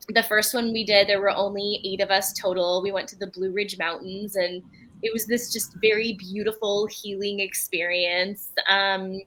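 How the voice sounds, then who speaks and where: Bright-voiced Belgian woman, earnest and candid. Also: mid-range voice, level tone, moderate pace, low-quality recording. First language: French. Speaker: writer and retreat leader. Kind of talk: interview answers.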